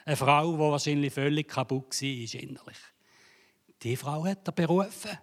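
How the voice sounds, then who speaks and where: A man's voice: on the high side, moderate pace. A man preaching a sermon in a church hall, joking and building to dramatic emphasis. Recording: reverberant hall.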